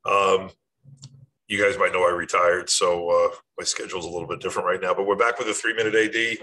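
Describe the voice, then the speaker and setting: A man's voice: lowish voice, a bit bright, low-quality recording. An older man hosting a short advice series, talking informally and instructively in a plainspoken way straight to the listener. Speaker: host of a short athletic administration advice series. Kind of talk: informal talk to camera, instructional.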